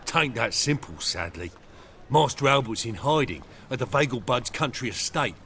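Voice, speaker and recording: A man's voice: mid-range voice, animated, normal speed, fairly bright tone, a little hiss or hum. An actor playing a meek, deferential valet to a noble lady in a video game, with a servile, folksy way of talking. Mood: earnest, worried. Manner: servile, folksy, dropped words. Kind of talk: video game dialogue acting.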